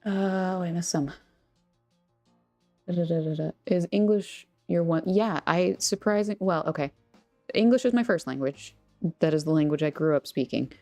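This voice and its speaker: An American woman chats casually with her viewers in a lowish voice full of vocal fry. She rambles playfully and pokes fun at herself. The sound is close and dry, with music playing behind her. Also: moderate pace, darkish tone, no echo. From Texas, United States. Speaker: craft livestream host. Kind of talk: casual chat with viewers while crafting. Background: background music.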